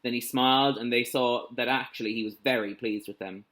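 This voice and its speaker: Guy doing storytime for kids, teacher type, animated read-aloud of a storybook. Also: mid-range voice, a little fast. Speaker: primary school teacher reading a children's story.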